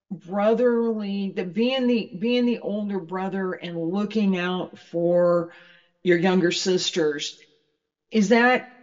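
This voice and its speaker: An interviewer asking questions in a measured, halting way. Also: mid-range voice. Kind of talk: interview, slow deliberate questioning. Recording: muffled, long hall-like reverb.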